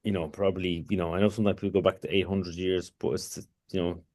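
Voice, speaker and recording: Low-voiced man, rambling. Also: level tone, quick pace, a little mellow. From Kurdistan, Iraq. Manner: rambling, with many restarts and "you know". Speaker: podcast guest. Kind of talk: casual conversational interview.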